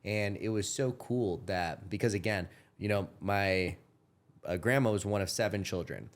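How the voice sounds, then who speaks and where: Man, 30s, podcast host, low voice, loose rambling talk, restarts, swears casually. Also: normal speed. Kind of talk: casual chat.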